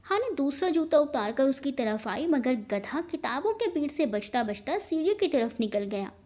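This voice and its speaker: A woman's voice: high-pitched, big pitch swings, moderate pace, darkish tone. A woman reading scripted fiction as a narrator, in a dramatic storytelling style.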